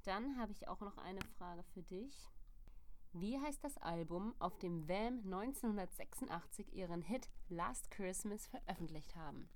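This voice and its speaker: A woman's voice: medium pitch, expressive, unhurried. A woman co-hosting a podcast, laughing often as she guesses answers and reads riddles aloud. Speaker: podcast co-host. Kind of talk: casual quiz chat.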